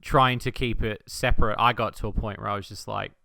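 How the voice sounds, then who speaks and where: A man's voice: fairly low pitch, steady tone, brisk, gravelly, pushed and bright, no room sound. A man hosting a casual interview, feeling his way through sentences and repeating words as he thinks. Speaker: interviewer, podcast host.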